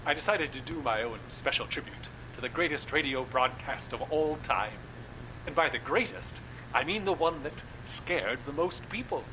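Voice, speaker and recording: A man plays a genial horror producer who savors every spooky word. His voice rises and falls freely, and a steady noise hangs under the recording. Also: on the high side, normal speed, lightly acted. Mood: wry. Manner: theatrical, relishing spooky talk. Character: genial male horror-drama host being interviewed. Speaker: radio drama producer guest.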